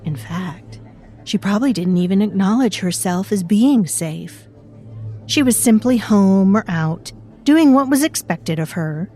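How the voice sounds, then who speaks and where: A woman's voice: medium pitch, lively intonation, unhurried, mellow, faint music behind. A woman narrating an audio drama as a mysterious presence living in a girl's mind, musing darkly and speaking straight to the listener. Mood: brooding. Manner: musing, ominous, addresses the listener directly.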